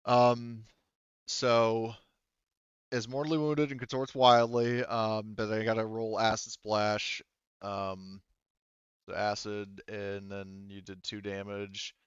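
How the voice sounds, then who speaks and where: A man running a tabletop game as game master, thinking aloud in a halting, casually profane way as he talks through rules and narration. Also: lowish voice, level tone, clean tone, dry recording, dull audio.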